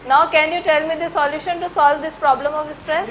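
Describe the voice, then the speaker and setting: Indian woman running a workshop, high voice, keeps asking the room questions. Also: steady tone, brisk, noisy background. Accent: Indian English. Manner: switches between English and Hindi, quizzes the audience. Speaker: workshop trainer. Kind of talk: interactive classroom teaching. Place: large lecture hall.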